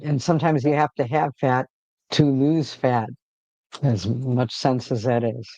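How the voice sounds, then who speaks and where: Gravelly-voiced man chatting on a livestream. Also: mid-range voice, a little slow, no echo, low-quality recording. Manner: rambling, relaxed, frequent fillers and restarts. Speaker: livestream host. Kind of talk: casual livestream chat.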